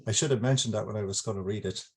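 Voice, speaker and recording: A man's voice: fairly low pitch, very fast, husky. An Irish man, an author, talking informally and reflectively to a gathering, explaining with some hesitation. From Ireland. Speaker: author hosting his book launch. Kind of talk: informal talk to an online gathering.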